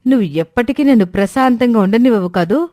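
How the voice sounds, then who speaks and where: Woman acting angry possessive mother, mellow high voice. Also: animated, fairly quick, no echo, studio quality, lightly acted. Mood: angry, accusing. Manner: accusing, confrontational. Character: angry, suspicious, fiercely possessive young mother.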